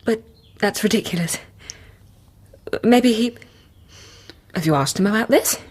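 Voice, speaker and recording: A woman's voice: mid-range voice, expressive, measured pace, a little mellow, slightly rough. Earnest, flustered young woman doctor. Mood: defensive, incredulous. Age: young adult. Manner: polite, hesitant, easily flustered. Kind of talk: radio drama acting.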